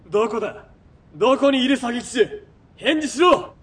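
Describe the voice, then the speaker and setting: An actor playing a sadistic, menacing military police villain in a radio drama, sneering and mocking, from quiet threats to barked orders. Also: male voice, extremely high pitch, expressive, a little slow, slightly rough, heavily acted. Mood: barking, furious. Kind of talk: Japanese radio drama acting.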